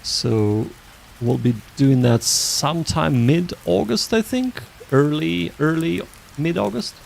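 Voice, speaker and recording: A man hosting a study livestream, chatting casually in a halting, hesitant way. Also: a little high, lively intonation, gravelly, a little mellow, a little hiss or hum, low-quality recording.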